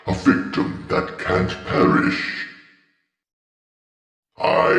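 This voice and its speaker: Dark horror-game machine monster, gravelly, flat-toned, ominous, drenched in echo. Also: male voice, exaggerated voice. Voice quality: gravelly, low. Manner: slow, solemn, ominous. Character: dark, vengeful undead nightmare animatronic, cold and ominous. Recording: very echoey, faint music behind.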